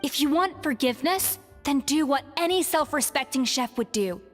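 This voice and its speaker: Stern former head chef, firm, measured, high lively voice, echoey game audio. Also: female voice, faint music behind. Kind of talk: video game voice acting.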